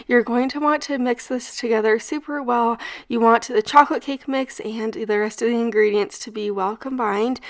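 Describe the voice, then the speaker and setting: A young woman with a slight cold, hosting a cooking show and chatting upbeat through recipe steps, sprinkling in "like" as she goes. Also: fairly high pitch. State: has a cold. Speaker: cooking channel host. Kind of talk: casual recipe demonstration.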